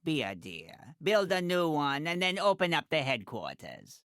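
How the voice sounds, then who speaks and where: Game role: haughty little middle-aged woman designer, theatrical, wildly animated pitch, sharp bright tone. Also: a little slow, clean tone, dry recording, clean studio sound, exaggerated voice. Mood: brisk, instructive. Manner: haughty, dramatic, clipped delivery with affectionate condescension. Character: tiny, imperious, theatrical middle-aged female fashion designer for superheroes. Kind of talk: video game character voice lines.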